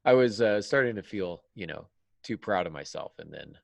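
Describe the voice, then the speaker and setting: A man hosting a casual panel discussion in a halting, hesitant way, like a teacher thinking aloud. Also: low-pitched, lively intonation, vocal fry, dry recording. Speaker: podcast host, writing teacher.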